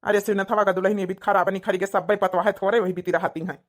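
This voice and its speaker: A woman's voice: mid-range voice, fairly even intonation, quick pace, close to an everyday voice. A woman voicing a sensible old village father in a cartoon, plain and placating, with an Awadhi accent. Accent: Awadhi, rural north India. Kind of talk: cartoon comedy dialogue.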